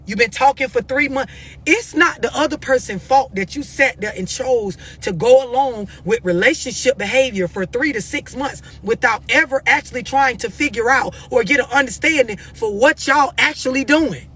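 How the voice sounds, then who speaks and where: A woman's voice: sits high, normal speed, a bit bright, breathy edge. An American woman giving blunt, unscripted relationship advice on a live stream, confrontational and emphatic, pressing her questions insistently. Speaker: host of a live stream talking to followers.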